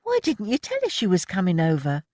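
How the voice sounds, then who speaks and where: A woman's voice: lowish voice, huge pitch swings, darkish tone, dry recording, clean studio sound, voice pushed up. A reader voicing Annie, a blunt young woman who works an allotment. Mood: delighted. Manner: blunt, quick to grumble.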